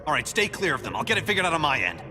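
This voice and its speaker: An actor playing a driven, impatient futuristic superhero who gives terse orders in a dramatic video game. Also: male voice, a little high, sing-song, very fast, heavily acted. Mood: businesslike, frustrated. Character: brusque, driven male futuristic superhero giving orders over comms. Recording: loud music bed under dialogue.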